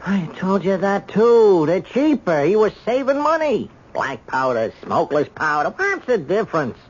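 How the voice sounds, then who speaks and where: An actor playing a stubborn, impatient ballistics man with a husky voice, emphatic and dismissive, in a radio drama. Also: high voice, big pitch swings, moderate pace. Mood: impatient, insistent. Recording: dull lo-fi old radio recording.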